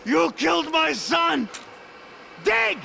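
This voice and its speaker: Screaming, gravelly, vengeful mob father. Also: very high voice, sing-song, takes it slowly, slight background noise, full character voice. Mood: furious, grieving. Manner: shouting, raging. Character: grieving, vengeful mobster father, foul-mouthed and furious. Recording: loud music behind the voice.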